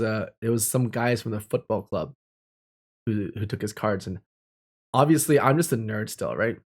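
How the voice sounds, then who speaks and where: A young man telling personal stories in a casual, candid and reflective way, speaking informally. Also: mid-range voice. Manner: candid, informal, with mild swearing.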